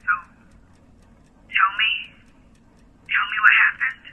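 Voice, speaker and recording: Very breathy, anxious, pleading woman , acted. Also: mid-range voice, steady tone, phone-quality sound. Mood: pleading, determined. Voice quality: breathy, husky. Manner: halting, pleading, intense. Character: anxious woman pleading to learn the truth about herself. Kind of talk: audio drama dialogue.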